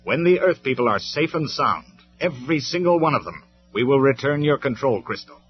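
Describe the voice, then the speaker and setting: A man voicing a stern, commanding male robot leader who speaks loud and measured, on a dull lo-fi recording with faint music. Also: medium pitch, sing-song, voice pushed up, natural voice.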